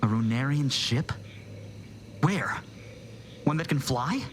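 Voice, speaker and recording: A man playing an earnest, dutiful young soldier, a colonel's son, in an animated sci-fi drama. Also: medium pitch, takes it slowly, slight background noise. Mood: weary, curious. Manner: hesitant, earnest.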